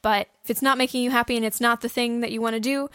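A woman's voice: on the high side, flat delivery, quick pace, a bit bright, dry recording, clean studio sound. A young woman co-hosting a podcast, giving casual, earnest and encouraging advice. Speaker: podcast host and audio drama producer. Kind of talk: casual how-to podcast talk.

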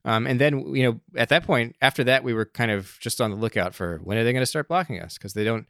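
A man's voice: medium pitch, quick pace, a bit bright, no echo, studio quality. A man interviewed as a guest, answering thoughtfully with frequent restarts and small hesitations. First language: not Russian, speaks English. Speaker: managing editor of a news website, guest.

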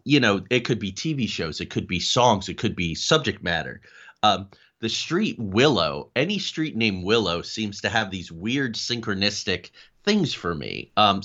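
Low-pitched middle-aged man on dull audio. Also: pushed and bright. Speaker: interview guest, paranormal investigator and writer. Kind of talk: conversational interview answers.

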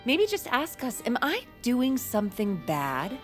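An actress playing an anxious, wounded grown daughter in an audio drama, halting and pleading. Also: female voice, on the high side, wildly swooping pitch, faint music behind. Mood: defensive, hurt. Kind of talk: audio fiction drama acting.

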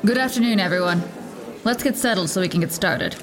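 Stern American policewoman played by an actress, mid-pitched, official and impatient, for audio drama press briefing. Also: lively intonation, fairly quick, natural voice, low-quality recording. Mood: formal, composed. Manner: formal, authoritative, clipped. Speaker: police lieutenant.

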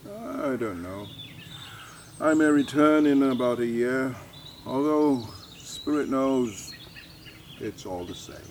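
A man's voice: mid-range voice, animated, slow, pauses often, darkish tone. A man playing a weary, cynical doctor in an audio drama, with a hesitant, resigned, fatalistic manner. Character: weary, cynical, detached male doctor about to leave. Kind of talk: naturalistic audio drama acting. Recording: faint outdoor ambient noise. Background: birdsong, outdoor ambience.

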